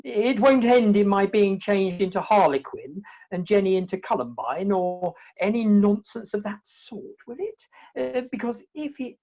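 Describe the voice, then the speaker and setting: A woman with a husky voice playing a proud, earnest young gentleman in a comic radio play. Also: mid-range voice, unhurried. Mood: anxious, fussy. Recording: slightly dull video call audio.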